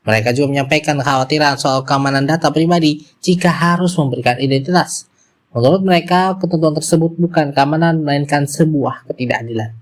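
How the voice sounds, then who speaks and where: A man with an Indonesian accent reading scripted news as a presenter, moving through formal bulletin copy. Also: on the high side.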